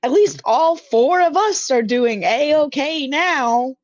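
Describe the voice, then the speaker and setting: Casting: young woman, casual roleplay gamer, slow delivery, slightly dull dry audio. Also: sits high, expressive, no room sound. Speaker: player in a tabletop roleplaying show. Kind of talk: casual tabletop game chat with in-character lines.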